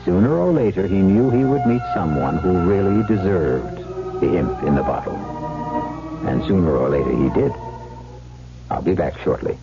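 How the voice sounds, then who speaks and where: A man hosting a radio mystery, narrating a script with measured, theatrical phrasing. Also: low-pitched, expressive. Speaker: host and narrator. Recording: muffled vintage radio recording, loud music behind. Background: prominent music.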